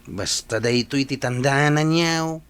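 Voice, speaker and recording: A man's voice: medium pitch, moderate pace, fairly bright tone, clear, resonant tone, natural voice. A man playing a frank younger villager who admonishes his elders in a radio comedy drama, with an Ilocano accent. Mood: admonishing, reassuring.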